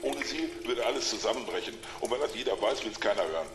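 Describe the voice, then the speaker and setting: A man's voice: on the low side, animated, fast. A man playing a smug, combative, cynical investment banker being interviewed in a German radio drama. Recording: echoey, loud music and water behind.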